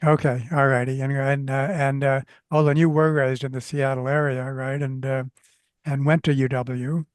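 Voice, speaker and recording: Halting male podcast host, smooth dry voice. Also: medium pitch, flat delivery, no echo. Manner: halting, many restarts and fillers. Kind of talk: conversational interview.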